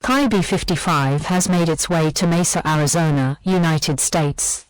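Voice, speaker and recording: Woman playing an emergency broadcast announcer, low voice, dry sound, measured, impersonal, formal. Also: clean tone, dry recording, poor audio. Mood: grave, official. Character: grave, official female emergency alert announcer.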